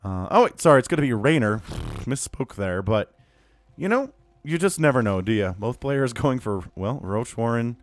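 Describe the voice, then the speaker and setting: A man giving solo play-by-play commentary on a strategy video game, casual and excitable, his voice still a little rough from recovering. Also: medium pitch, normal speed, a little hiss or hum. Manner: casual, excitable, rises to yelling at big fights. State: voice still recovering, cracking at times. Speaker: StarCraft caster.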